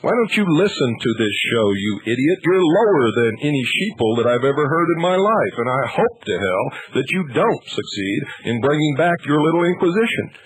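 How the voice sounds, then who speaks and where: Blunt, slightly husky American male radio host. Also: a little high, very animated, fairly quick, few pauses, poor audio. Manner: confrontational, insistent, blunt questioning. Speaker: call-in radio show host. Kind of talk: live phone-in talk radio debate. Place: radio studio.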